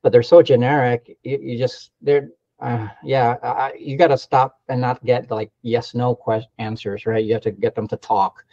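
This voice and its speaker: A man from the Philippines chatting casually on a remote call as a mentee, haltingly thinking aloud. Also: medium pitch, flat delivery, dark tone. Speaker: mentee learning real estate investing. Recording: muffled call audio with room echo.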